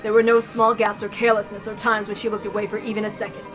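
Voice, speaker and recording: A woman's voice: mid-range voice, level tone, fast, music in the background. A woman giving scripted narration of a story.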